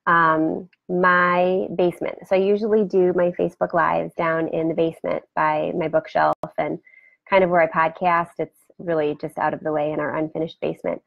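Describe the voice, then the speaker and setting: Woman with a scratchy, gravelly voice. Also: on the low side, little pitch movement, normal speed, no echo, phone-quality sound. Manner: chatty, rambling, greets viewers by name. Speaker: host. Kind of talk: casual live-stream chat. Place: home room beside a turtle aquarium. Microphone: webcam for a live video.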